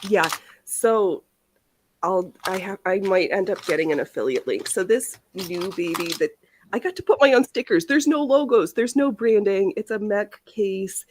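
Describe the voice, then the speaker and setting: Female streamer, medium pitch, casual and rambling, easily sidetracked, faintly noisy home mic. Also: lively intonation, normal speed. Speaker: livestream host of a sewing and crafting show. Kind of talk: casual livestream chat.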